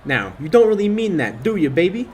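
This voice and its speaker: A man's voice: high-pitched, big pitch swings, a little fast, a little mellow, a little hiss or hum. An actor playing a menacing, sneering young tough guy in a 1940s-style radio play, taunting as he goes.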